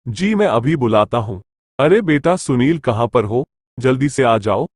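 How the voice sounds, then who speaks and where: A man's voice: mid-range voice, animated, a little slow. A man voicing a mild, caring father in a Hindi moral story cartoon. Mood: mild, welcoming.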